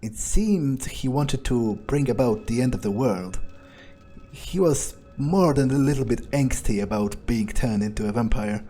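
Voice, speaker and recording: A cocky fledgling vampire played by a male gamer thinks aloud in a video game playthrough. His mid-pitched voice is casual and sardonic, musing with hesitations over faint music. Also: lively intonation, measured pace, warm tone, breathy edge. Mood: casual, businesslike. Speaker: gamer role-playing his character.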